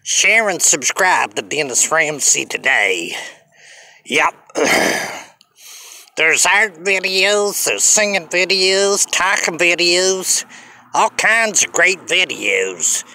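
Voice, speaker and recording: Slow gravelly older man vlogging outdoors. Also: sits high, lively intonation, pushed and bright. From Canada. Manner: slow, drawling, repetitive self-promotion. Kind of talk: rambling selfie vlog. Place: outdoors on a sunny hillside street. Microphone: phone at arm's length.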